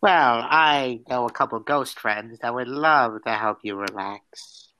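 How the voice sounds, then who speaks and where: A man's voice: medium pitch, takes it slowly, pushed and bright, slight background noise, low-quality recording. A man playing a vain, teasing, flamboyant male demon in an improvised audio roleplay, theatrical and flirtatious. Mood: smooth, flirtatious.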